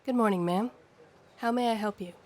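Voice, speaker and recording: A woman's voice: medium pitch, brisk, natural voice. A woman acting a courteous, helpful police desk sergeant in an audio drama. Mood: polite.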